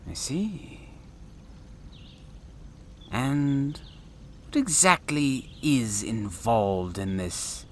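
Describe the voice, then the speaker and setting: A man performs an inquisitive sci-fi professor with a lively, swinging pitch. His delivery is unhurried and theatrical, as in an audio drama. The sound has long reverb. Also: heavily acted. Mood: polite curiosity. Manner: measured, theatrical, musing delivery. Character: eccentric, curious male professor and space traveller. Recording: long reverb, faint background noise.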